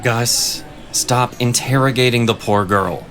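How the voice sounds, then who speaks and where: Slow-talking, stoic fantasy hunter. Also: male voice, medium pitch, fairly bright tone, a little hiss or hum. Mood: weary, stern. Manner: dry, restrained, placating. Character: stoic, dry, weary grump of a hunter. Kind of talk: fantasy audio drama acting.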